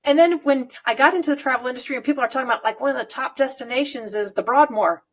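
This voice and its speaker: A woman's voice: sits high, racing. A woman in her fifties from the United States, chatting casually, rambling and laughing often. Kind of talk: casual chat.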